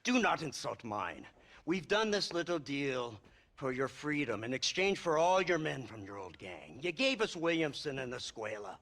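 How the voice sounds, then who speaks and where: Smug menacing american government boss for a game, husky, swoops around, condescending. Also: male voice, medium pitch, sing-song, fairly bright tone. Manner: condescending, drawn-out mock politeness. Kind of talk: video game cutscene acting.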